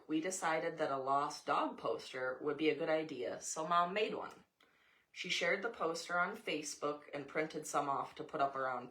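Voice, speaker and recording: Low-pitched American woman reading a picture book. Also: a bit bright, rough recording. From United States. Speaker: storybook reader, mother. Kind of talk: picture-book read-aloud with informal asides to a child.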